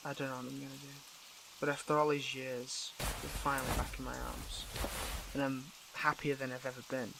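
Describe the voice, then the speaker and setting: A man playing a conflicted, tender superhero in an intimate audio roleplay, with a gravelly voice and halting, earnest, emotional delivery. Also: mid-range voice, monotone, background noise, close to an everyday voice. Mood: tender, relieved.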